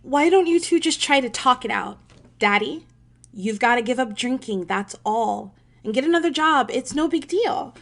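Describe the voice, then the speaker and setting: A woman's voice: high voice, lively intonation, moderate pace, gravelly, fairly bright tone, exaggerated voice. A woman playing a pleading teenage daughter in a family audio drama, youthful and direct. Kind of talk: naturalistic audio drama acting.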